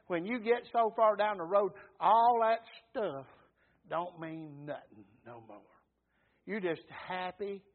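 Preacher, high husky voice, tinny sound. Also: male voice. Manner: folksy, emphatic preaching with call-and-response amens. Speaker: pastor. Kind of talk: sermon. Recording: tinny phone-like recording.

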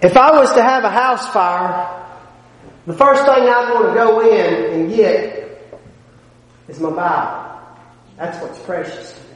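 A man's voice: sits high, a little slow, pauses often, fairly bright tone. A man preaching a sermon, emphatic and folksy, his volume swelling and dropping between points. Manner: folksy, plain-spoken asides. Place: church sanctuary. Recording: echoey hall with long reverb.

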